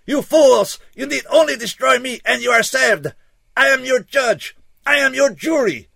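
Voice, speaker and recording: A despairing alien from a science fiction storybook. The voice is high, sharp and very gravelly, swinging widely in pitch. Each phrase comes out stiff, formal and intense, like a doomed servant declaiming. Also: male voice, big pitch swings, a little slow, no room sound, clean studio sound, exaggerated voice. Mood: frantic, pleading.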